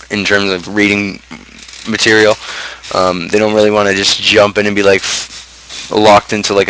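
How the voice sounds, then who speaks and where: A man's voice: fairly low pitch, fairly bright tone, slight background noise, poor audio. A man with a North American accent hosting a casual livestream talk show, rambling in blunt, slangy, crude language. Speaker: host of a gaming channel's talk show. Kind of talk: casual livestream chat, book review.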